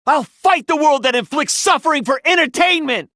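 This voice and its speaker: A man's voice: very high voice, expressive, moderate pace, no room sound, studio quality, heavily acted. A young man voicing an earnest, wounded but defiant teenage boy detective in a dramatic video game dub, emotional and emphatic. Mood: impassioned defiance. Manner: emotional, emphatic, swinging from hushed to impassioned.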